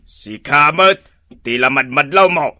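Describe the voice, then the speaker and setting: An excitable slow-talking Ilocano policeman. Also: on the high side, full character voice. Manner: shouting calls, exclamatory. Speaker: radio drama actor. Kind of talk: radio drama acting.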